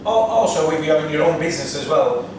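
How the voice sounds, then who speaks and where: A man chiming in on a casual podcast chat with relaxed remarks. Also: on the high side, fast talker. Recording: echoey room from far off, background hum.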